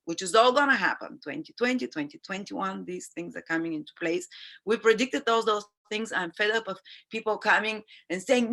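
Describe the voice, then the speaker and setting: A woman's voice: medium pitch, pushed and bright. A woman astrologer who is sick with a sniffly nose, talking in a chatty, rambling, earnest way on her own live stream. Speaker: astrologer and channel host. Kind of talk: live-stream forecast chat.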